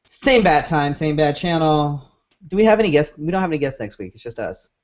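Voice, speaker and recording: A man's voice: a little high, expressive, fairly quick. A man co-hosting a show in casual, rambling chat, swearing freely, on a dull lo-fi recording. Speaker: show co-host. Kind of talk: casual chat, plugs and sign-off. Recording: dull lo-fi audio.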